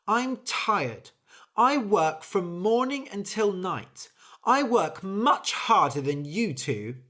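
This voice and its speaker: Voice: mid-range voice, very animated, takes it slowly, fairly bright tone, voice pushed up, full character voice. A reader doing a weary hardworking bird. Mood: fed up. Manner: earnest, complaining. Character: hardworking female bird, tired and fed up.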